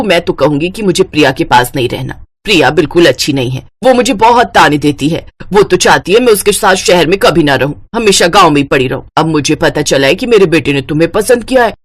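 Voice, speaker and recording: A woman voicing a cunning, protective elderly mother-in-law in a family drama story, with a coaxing, deliberate manner. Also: deep voice, no echo, pitched below the performer's own voice.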